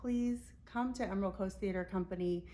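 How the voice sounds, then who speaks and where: A woman's voice: medium pitch, fairly quick, mellow, a little hiss or hum. A woman hosting a casual promotional interview, effusive and complimentary as she speaks. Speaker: interviewer and host for a community theater.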